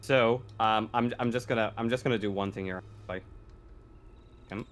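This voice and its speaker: A man's voice: fairly low pitch, steady tone, moderate pace, a bit bright. A man casually running a tabletop roleplaying game as game master. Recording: clear background noise.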